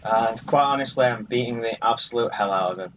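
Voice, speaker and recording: A man's voice: mid-range voice, fairly quick, a bit bright, a little hiss or hum. Man giving gameplay commentary, muffled and distant. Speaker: gaming video host. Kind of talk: casual playthrough commentary. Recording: muffled, distant mic over game music. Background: game music.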